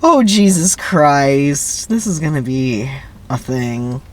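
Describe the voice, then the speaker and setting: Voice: mid-range voice, animated, slow, slight background noise. A narrator giving a dramatic script reading with wry commentary, in a theatrical manner.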